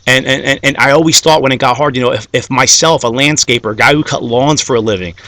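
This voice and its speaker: Fast, bright-voiced motivational man. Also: mid-range voice, no echo. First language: Greek. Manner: repeats "okay?" and "guys", builds to rising fired-up climax. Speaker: motivational speaker. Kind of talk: motivational talk to followers.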